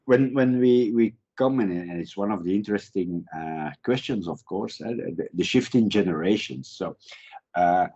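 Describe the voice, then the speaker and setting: A man's voice: deep voice, normal speed. A man in his fifties from Belgium, a Dutch speaker, answering interview questions as a guest professor, restarting phrases as he thinks. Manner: animated, repeats words while thinking. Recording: dull lo-fi recording.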